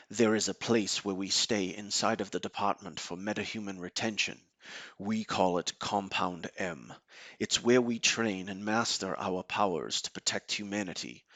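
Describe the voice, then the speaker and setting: A man acts as a fatherly commander in a superhero audio drama. His voice is fairly low and closely recorded, with no room sound. He explains things in a measured, authoritative way. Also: steady tone, bright, forceful tone, no echo, full character voice. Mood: calm, instructive. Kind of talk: amateur audio drama acting.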